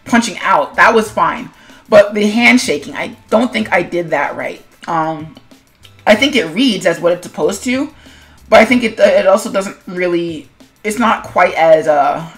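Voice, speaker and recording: A woman speaks like a video host chatting through her own animation work. Her delivery is conversational and rambling, with a self-deprecating streak. Her pitch sits in the ordinary middle range for a woman, and music plays under the voice. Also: a bit bright. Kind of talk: casual commentary vlog on own animation.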